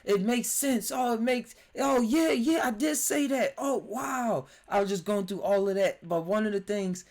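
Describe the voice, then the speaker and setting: A man in his twenties hosting a podcast, telling his stories excitedly, laughing often and restarting his sentences. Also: high-pitched, moderate pace. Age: 20s. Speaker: podcast host. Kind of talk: casual chat. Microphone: remote voice chat.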